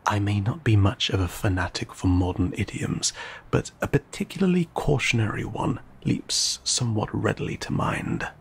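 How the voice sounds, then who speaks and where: A man playing an ancient, courteous, guarded vampire in an intimate audio roleplay, speaking in formal, old-fashioned, measured phrases with some hesitation. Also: sing-song, exaggerated voice. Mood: cautioning, stern. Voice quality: low, gravelly, breathy.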